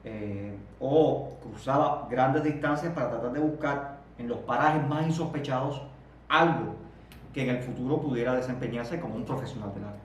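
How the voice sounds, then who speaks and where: A Cuban man in his thirties is heard from some distance in a very echoey room, with faint noise in the background. His pitch sits in the middle for a man. He speaks in a halting, reflective way as an interview guest, often repeating words before moving on. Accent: Cuban Spanish. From Cuba. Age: 30s.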